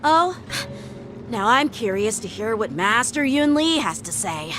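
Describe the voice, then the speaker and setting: A woman's voice: a little high, very animated, measured pace, over music. A woman voicing a polite, earnest teenage boy swordmaster in an English video game, patient, courteous and instructive. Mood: curious, amused.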